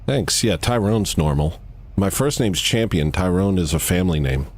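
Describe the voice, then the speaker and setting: Lively low-voiced man joking around. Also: normal speed. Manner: jokey and deadpan, with playful swings in pitch. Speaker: livestream host. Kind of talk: improvised comedy skit.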